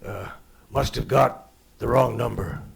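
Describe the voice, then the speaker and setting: Man playing a gravelly, stammering furtive killer. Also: mid-range voice, loud music behind the voice. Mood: nervous, furtive. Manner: stammering, halting. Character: furtive male killer, stammering falsely reassuring excuses. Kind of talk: old-time radio play acting.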